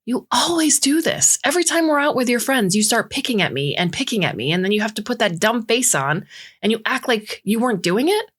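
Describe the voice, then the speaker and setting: A woman's voice: medium pitch, animated, unhurried, breathy edge. A woman voicing a hurt, angry young woman in a lovers' quarrel, emphatic and accusing, in dramatized story dialogue. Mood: agitated, accusing.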